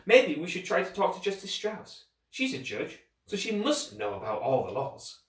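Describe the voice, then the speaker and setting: A man's voice: sits high, huge pitch swings, normal speed, a bit bright, roomy sound, far from the mic, voice pushed up, exaggerated voice. A man voicing an earnest, bookish young boy in a storybook, worried, thoughtful and a little hesitant. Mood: resolved.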